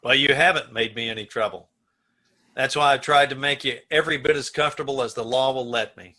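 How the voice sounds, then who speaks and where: A man's voice: medium pitch, steady tone, fairly quick, pushed and bright. A man acting an earnest prison warden in an old-time radio play, speaking emphatically and pleading with a condemned prisoner. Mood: grave, considerate. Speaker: amateur radio-troupe actor.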